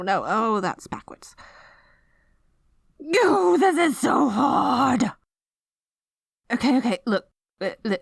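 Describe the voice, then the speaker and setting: A woman's voice: on the high side, no room sound, studio quality. A woman playing a dorky, lovestruck evil queen in an ASMR roleplay, stammering and flustered between pompous villainous proclamations. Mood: flustered, nervous. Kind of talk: ASMR roleplay audio drama.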